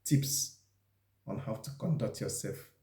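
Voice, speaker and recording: A man presenting an instructional talk, explaining things deliberately and speaking straight to the viewer. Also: fairly low pitch, expressive, a little mellow. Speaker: video presenter giving job interview advice. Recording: echoey, roomy sound.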